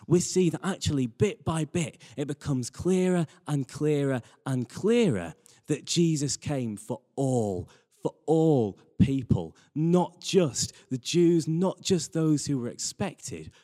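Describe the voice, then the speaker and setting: Guy giving a sermon, really lively up and down voice, earnest, repeats himself for emphasis, hall reverb. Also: on the high side. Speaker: preacher. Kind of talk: sermon with personal anecdotes.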